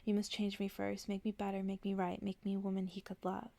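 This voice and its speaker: Woman softly reading as a lovesick stubborn girl. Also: medium pitch, monotone, quick pace, vocal fry, no echo, lightly acted. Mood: pleading, insistent. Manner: earnest, insistent. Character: lovesick, stubborn, brave young village girl. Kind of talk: soft-spoken ASMR storybook reading.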